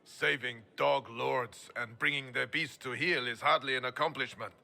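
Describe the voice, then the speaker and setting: A man's voice: medium pitch, fairly even intonation, moderate pace, creaky, pushed and bright. A man playing a haughty, pompous aristocrat in a video game, with a sneering manner. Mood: contemptuous. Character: haughty, scornful male aristocrat, quick to insult.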